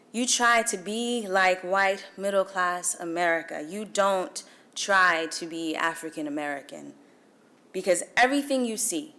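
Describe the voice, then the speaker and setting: A young woman from Guyana with a Guyanese accent, delivering a formal speech at a celebration breakfast in measured, emphatic oratory with dramatic pauses. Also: medium pitch, fairly bright tone. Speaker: featured speaker. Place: event hall.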